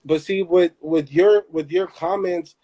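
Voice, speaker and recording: A man's voice: high voice, unhurried. Emphatic young man. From Southern California. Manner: emphatic, animated, repeats himself. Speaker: host. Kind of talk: casual live chat.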